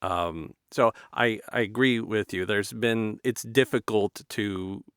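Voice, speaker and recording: An American man hosts a casual interview in a somewhat low voice. He speaks tentatively, often rewording his thoughts midway, and the sound is dry with little room echo. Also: slow pace, fairly bright tone, clear, resonant tone. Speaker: interview host. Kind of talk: conversational interviewing.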